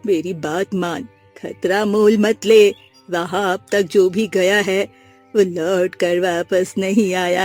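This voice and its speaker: Woman voicing a slow-talking, pleading village mother. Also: lowish voice, darkish tone. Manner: pleading, emotional. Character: anxious, pleading village mother of grown sons. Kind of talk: melodramatic Hindi cartoon story dialogue.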